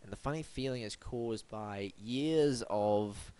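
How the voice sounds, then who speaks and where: A man's voice: on the low side, slow, mellow. Wry, stuffy-nosed man reviewing websites. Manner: wry, theatrical, mock-formal. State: blocked up and sniffly from hay fever. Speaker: web design reviewer, host. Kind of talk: scripted web review monologue.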